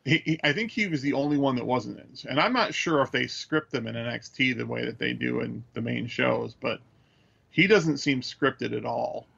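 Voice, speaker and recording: A dull, muffled recording of an American man with a heavily creaky, gravelly voice at a middling pitch. He talks casually as a podcast co-host, picking through his analysis in a halting way. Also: fairly bright tone. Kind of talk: casual chat, measured analysis.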